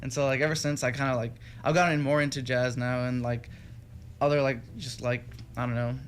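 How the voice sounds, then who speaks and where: A man's voice: mid-range voice, steady tone, brisk, slight background noise. A young man from Texas, a music student guest, chatting casually in an interview with many fillers and restarts. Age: young adult. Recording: radio studio with faint music behind.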